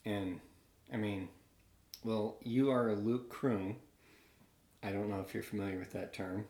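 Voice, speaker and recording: A man hosting a casual interview podcast, relaxed and joking as he pushes his guest for deeper answers. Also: a little slow, reverberant. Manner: relaxed, joking, probing questions. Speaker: podcast host and bar owner.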